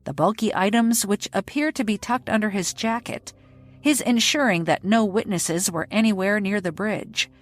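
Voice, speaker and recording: A woman hosting a podcast, reading scripted true-crime narration in a measured, even way. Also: mid-range voice, faint music behind. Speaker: podcast host.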